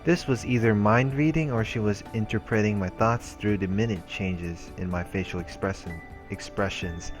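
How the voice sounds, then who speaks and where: A man with a very deep voice reads a visual novel aloud. He keeps it casual, with the offhand manner of a let's play host. Soft music plays faintly underneath. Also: moderate pace, hardly any pauses.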